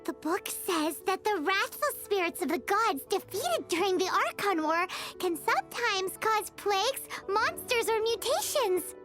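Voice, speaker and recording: A tiny, bubbly fairy sidekick from a video game. The voice is extremely high and squeaky, bouncing around with animated, childlike curiosity. Music plays clearly behind it. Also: female voice, a little slow, hardly any pauses, exaggerated voice. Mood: thoughtful, concerned. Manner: refers to self in third person, exclaiming, expressive. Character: small childlike floating fairy companion, bubbly, curious, cute. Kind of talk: video game character dialogue.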